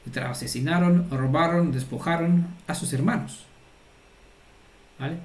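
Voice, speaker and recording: A man's voice: on the high side, a little slow, a little mellow, reverberant. A man giving an informal, earnest religious teaching and often checking that his listeners follow. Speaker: Torah teacher.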